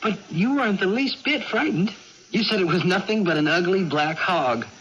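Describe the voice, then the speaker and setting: A muffled, hissy radio recording carries a patient young man with a light voice. He speaks gently and earnestly, a little hesitant, in 1980s radio drama style. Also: high voice, animated, moderate pace. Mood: earnest coaxing. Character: earnest, patient young brother of a troubled woman. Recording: dull, noisy old radio broadcast.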